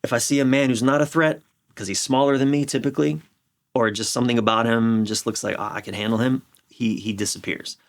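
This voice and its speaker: A middle-aged American man explaining his views in a candid interview, halting and repeating phrases for emphasis. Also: mid-range voice, fairly even intonation. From United States. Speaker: relationship coach, podcast guest. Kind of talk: reflective conversational interview explanation.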